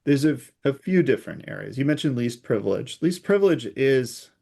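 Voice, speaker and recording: A man's voice: medium pitch, animated, brisk, gravelly, mellow. A man speaking as an expert guest in an interview, explaining technical concepts through hypothetical examples. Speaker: expert guest, security researcher.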